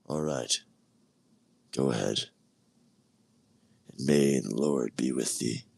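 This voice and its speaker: Man doing a quiet doubtful king, deep gravelly voice, low-key. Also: slow pace, no echo, full character voice. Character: doubtful older king, weary.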